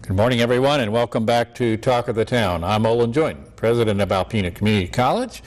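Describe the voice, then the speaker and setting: An American man hosting a talk show interview, introducing and questioning his guest in a measured style. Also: medium pitch. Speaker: talk show host, college president.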